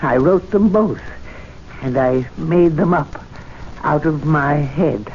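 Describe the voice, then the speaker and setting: A man's voice: on the high side, slow pace, a little hiss or hum. An actor playing a timid, deferential older man at a club, hesitant and stammering, in a 1970s radio drama. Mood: indignant. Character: timid meek older male club attendant. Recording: dull lo-fi old radio recording.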